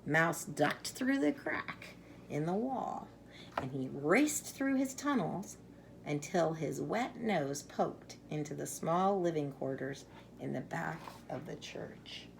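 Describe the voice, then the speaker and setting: Female storyteller, slow and low, expressive children's read-aloud with dramatic pauses, faint background noise. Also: creaky. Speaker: storyteller reading a children's picture book.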